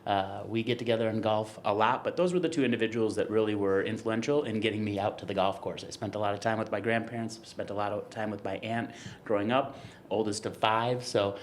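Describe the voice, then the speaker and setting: Casual low-voiced American man, faint background noise. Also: fairly even intonation, brisk. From United States, grew up in Wisconsin. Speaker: podcast co-host and golf business co-founder. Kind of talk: casual chat, telling own story.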